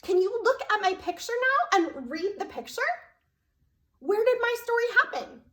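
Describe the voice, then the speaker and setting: A woman's voice: extremely high pitch, lively intonation, moderate pace, fairly bright tone. A woman telling a story to preschoolers as a children's librarian, in a sing-song, child-directed way with frequent questions. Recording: roomy echo.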